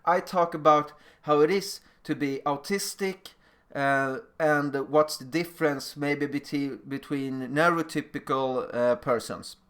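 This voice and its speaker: A man's voice: a little high, a little slow, fairly bright tone. A man from Sweden with a Swedish accent, a non-native speaker giving an informal presentation as a teacher in plain, slightly non-fluent phrasing. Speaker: middle school teacher giving a lecture. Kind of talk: informal recorded presentation with personal stories.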